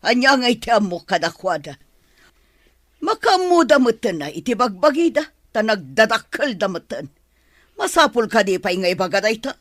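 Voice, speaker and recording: A woman playing a sharp-tongued, scolding older wife in an Ilocano radio drama. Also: fairly high pitch, very animated, moderate pace, dry recording, clean studio sound.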